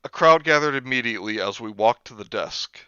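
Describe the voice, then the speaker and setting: A man reading a book aloud on a comedy stream, sarcastic and mocking, with slow readings broken up by rants. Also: mid-range voice, expressive, bright, forceful tone, smooth, no echo, highs cut off. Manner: sarcastic, mocking, reads passages slowly then rants.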